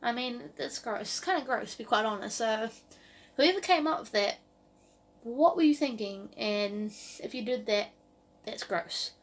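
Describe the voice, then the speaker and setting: A woman's voice: fairly high pitch, expressive, a little slow. A woman in her early twenties with a New Zealand accent, talking casually to camera as a vlogger in a rambling way full of "like" and "um". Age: early 20s.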